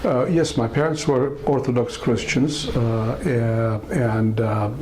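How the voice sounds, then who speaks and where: An older man from Eritrea, telling his life story in an interview, pausing often with fillers and restarts. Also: mid-range voice, steady tone, darkish tone, a little hiss or hum. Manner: halting, many fillers and restarts. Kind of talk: casual interview testimony.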